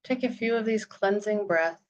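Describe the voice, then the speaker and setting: A woman leading a guided visualization meditation, speaking in soothing, deliberate phrases with long pauses. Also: medium pitch, highs cut off, a little hiss or hum.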